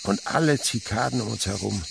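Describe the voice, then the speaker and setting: An actor playing a kindly old insect researcher in a radio play, philosophical and rapturous, moving between murmurs and exclamations. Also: male voice, fairly low pitch, a little fast, vocal fry. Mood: wistful. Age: older. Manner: theatrical swings from murmur to exclamation. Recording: radio drama with insect noise behind.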